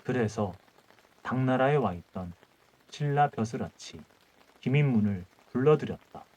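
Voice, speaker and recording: A man narrating a folk tale as a calm audiobook reader, reading in measured short phrases. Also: mid-range voice, rough recording. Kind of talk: calm bedtime storytelling.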